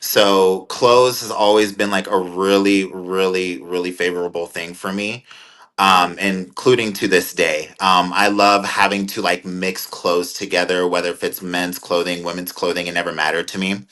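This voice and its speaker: Deep-voiced young man, bright tone. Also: flat delivery, moderate pace. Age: 20s. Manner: rambling, thoughtful, many fillers. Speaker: guest content creator. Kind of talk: casual interview talk.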